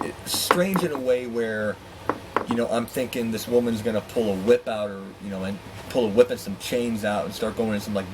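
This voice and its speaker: A man telling a personal story in a candid, profane, animated way, acting out dialogue with exasperated asides. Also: on the low side, noisy background. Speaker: storyteller and host.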